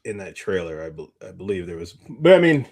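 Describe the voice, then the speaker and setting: Low voiced guy cohosting a casual movie podcast, kinda rambly, starts and drops thoughts. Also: sing-song, a little mellow. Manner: rambling, halting, trailing off mid-thought. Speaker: podcast co-host.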